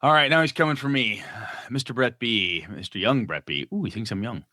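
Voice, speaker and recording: A man's voice: medium pitch, animated, fairly quick, sharp tone, no room sound, studio quality. A man co-hosting a casual gaming podcast, reading listener letters aloud with jokey asides.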